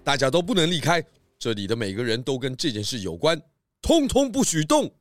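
A man playing a genial, authoritative pig detective in a children's audio drama, with animated, exclamatory delivery. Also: medium pitch, a little fast, faint music behind, lightly acted. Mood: stern, commanding. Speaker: lead detective character.

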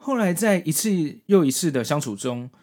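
A man's voice: a little high, animated, a little fast, darkish tone. A man hosting a podcast, narrating and commenting on a story from prepared notes in an explanatory way. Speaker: podcast host.

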